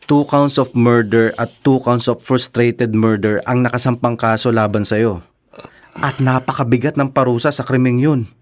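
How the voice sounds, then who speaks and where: A man with a Filipino accent playing a frank, concerned lawyer friend in a radio drama, candid and measured. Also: medium pitch, fairly even intonation, a little hiss or hum, close to an everyday voice. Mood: grave, candid.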